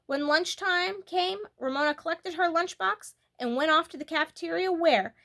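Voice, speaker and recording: A woman reading a children's book aloud as a storyteller, in an expressive, animated way that leans on key words. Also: extremely high pitch, normal speed, vocal fry.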